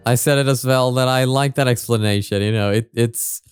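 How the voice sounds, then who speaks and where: A man's voice: mid-range voice, fairly even intonation, fairly quick, clean tone, no room sound, soft background music. A man hosting a reaction video, talking casually and analytically, thinking aloud with hesitant, repeated phrases.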